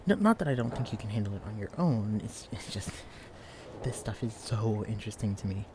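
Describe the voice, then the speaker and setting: A young man playing a shy, clumsy, bookish librarian in an intimate audio roleplay, speaking in a hesitant, stammering, hushed way. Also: fairly low pitch, lively intonation, fairly quick, background noise, lightly acted. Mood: hesitant, hopeful. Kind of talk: intimate audio roleplay acting addressed to the listener. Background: quiet library ambience.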